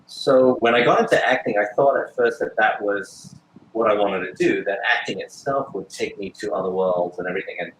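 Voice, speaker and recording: A man in his forties speaks in a low, unhurried voice, pausing and restarting as he thinks. The room around him rings with echo. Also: slight background noise. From Australia. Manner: thoughtful, hesitant, many fillers and restarts. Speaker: guest actor and filmmaker. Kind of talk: casual interview answers.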